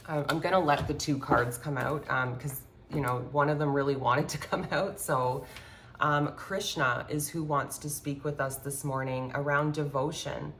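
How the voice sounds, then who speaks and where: A woman with a congested, slightly hoarse voice giving a rambling, earnest spiritual talk with many fillers while doing a card reading at her home altar. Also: deep voice, fairly even intonation, normal speed, a bit bright, a little hiss or hum. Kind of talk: casual spiritual talk to viewers. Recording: echoey room, faint ambience.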